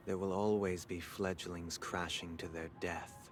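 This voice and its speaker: A man playing a solemn, eloquent brother in a video game, speaking in a measured, oratorical manner. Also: deep voice, level tone, noisy background. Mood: grave. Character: grave, eloquent, idealistic yet fatalistic brother. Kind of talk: scripted video game dialogue. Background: game music, streamer talking over.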